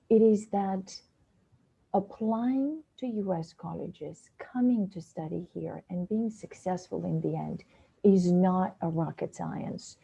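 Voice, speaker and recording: A woman's voice: medium pitch, vocal fry, warm tone, breathy edge, rough recording. A woman from Bulgaria giving an earnest, practical talk to students over a video call. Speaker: guest speaker, essay coach. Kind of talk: webinar talk.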